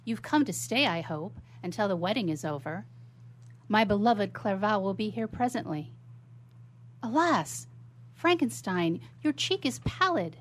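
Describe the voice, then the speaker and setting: An actress playing a tender, worried young sister in a radio melodrama, speaking formally and solicitously. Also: female voice, medium pitch, lively intonation, measured pace, a little hiss or hum, close to an everyday voice. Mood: concerned affection. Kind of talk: melodramatic 19th-century stage acting in radio theatre.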